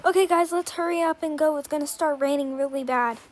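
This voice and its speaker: A woman's voice: high-pitched, brisk, natural voice. A woman voicing a worried parent urgently taking charge in a pretend-play family drama, with faint noise behind. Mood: hurried.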